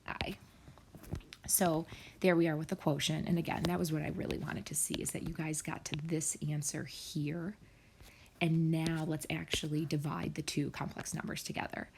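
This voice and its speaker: A woman's voice: low-pitched, creaky, background noise. A woman math teacher talking students through problems step by step in a recorded screen lesson, often dropping to a near whisper.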